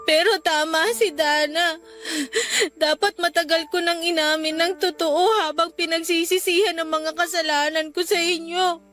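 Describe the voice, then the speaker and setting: A woman's voice: very high voice, fairly bright tone. A woman playing a tearful, apologetic pregnant daughter in a sentimental audio drama. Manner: apologetic, pleading, respectful. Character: tearful, remorseful pregnant daughter confiding in her mother. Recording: music behind the voice.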